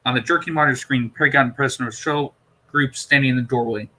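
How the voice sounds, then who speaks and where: A man's voice: mid-range voice, steady tone, quick pace, sharp tone, low-quality recording. A man reading a novel aloud as an amateur narrator, now and then stumbling over words. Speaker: amateur audiobook reader.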